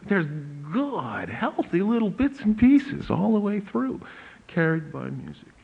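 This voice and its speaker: A pastor with a big voice, giving a slow, deliberate lecture on church hymns with emphatic rises. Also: male voice, sits high, very animated, gravelly, breathy edge. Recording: narrow phone-like audio.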